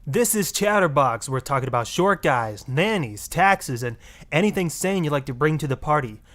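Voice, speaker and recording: A man's voice: fairly high pitch, lively intonation, a bit bright. Man acting a snarky talk radio host. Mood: exasperated. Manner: snarky, brisk radio patter. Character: sarcastic, exasperated male talk radio host. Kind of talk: satirical video game radio acting.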